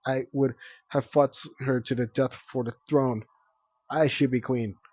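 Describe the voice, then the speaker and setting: A man's voice: mid-range voice, fairly even intonation, a little slow, a little mellow, husky, no echo. Man voicing a theatrical young she-dragon. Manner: theatrical, eager. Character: dramatic young female dragon.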